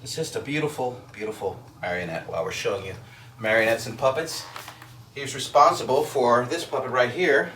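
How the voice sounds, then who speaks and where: A man hosting a storytime, presenting to children and families in an expressive, emphatic, enthusiastic way. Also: medium pitch, fairly quick. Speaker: storytime host. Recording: roomy echo, clear background noise.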